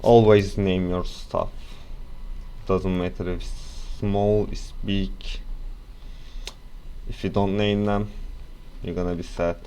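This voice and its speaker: A young man with a Turkish accent talks slowly and loosely in a deep voice, correcting himself and wandering into asides. Faint background noise and keyboard typing sit under his voice. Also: flat delivery, warm tone. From Turkey. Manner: rambling, self-correcting, casual asides. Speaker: software tutorial presenter. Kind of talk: casual screen-recorded software tutorial.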